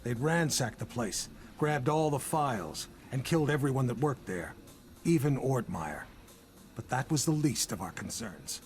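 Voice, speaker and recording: An actor playing an embittered, proud older intelligence chief in a video game cutscene, speaking with deliberate, sardonic authority. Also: male voice, medium pitch, lively intonation, faint music behind, lightly acted. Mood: grave, controlled.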